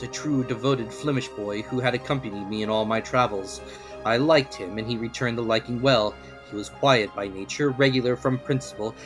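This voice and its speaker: A man's voice: mid-range voice, low-quality recording. A man giving a theatrical, formal dramatic reading of an adventure novel as its narrator. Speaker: narrator, reader.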